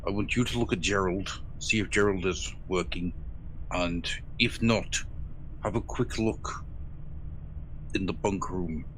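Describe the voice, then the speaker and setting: A tabletop player with one of the lowest voices around, talking dryly and playfully. He plans his character's moves, and his banter has a wry edge to it. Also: fairly even intonation, measured pace, long pauses, fairly bright tone, a little hiss or hum, rough recording. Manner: dry, playful, chuckling. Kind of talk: casual tabletop role-play.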